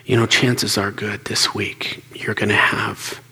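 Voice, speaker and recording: A man guiding listeners through a calm reflection in a soft, coaching way. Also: fairly low pitch, measured pace, husky, poor audio. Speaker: meditation guide. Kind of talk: guided reflection.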